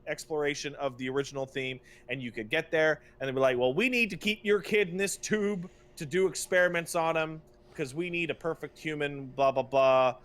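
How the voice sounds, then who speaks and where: A man co-hosting a podcast, ranting casually about video games in an exasperated, animated, sweary way. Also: a little high, fairly quick, few pauses. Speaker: podcast co-host. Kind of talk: casual video game rant.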